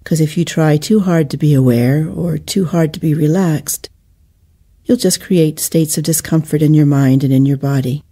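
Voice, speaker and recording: Woman, meditation guide, very dark soft-edged tone, soothing, measured phrasing, gentle pauses. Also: deep voice, slightly rough.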